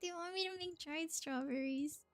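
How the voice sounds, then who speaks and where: High-voiced woman chatting while gaming. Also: moderate pace. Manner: chatty, thinking aloud, giggly. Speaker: live streamer playing a video game. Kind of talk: casual live game commentary.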